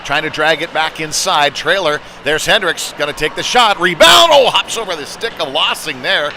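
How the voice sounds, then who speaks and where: A man's voice: on the high side, expressive, normal speed. A man doing live play-by-play of a youth hockey game in short, clipped running phrases. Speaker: play-by-play commentator. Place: live at an ice hockey venue. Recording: noisy rink, clear background noise.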